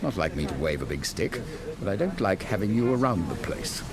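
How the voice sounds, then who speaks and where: A menacing man in a radio drama, low-pitched with swooping, lively intonation. He is mocking and slangy, coolly threatening, with crowd and engine sound behind him. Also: fairly quick. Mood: mocking menace. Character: menacing, slangy upper-class man bullying a rival.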